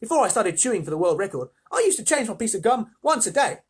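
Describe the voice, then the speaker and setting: A reader voicing a brash, boastful gum-chewing girl from a children's storybook, talking loud and fast in a put-on Australian accent. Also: mid-range voice, sing-song, husky, pitched above the performer's own voice. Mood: excited, bragging. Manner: fast, emphatic, chattering.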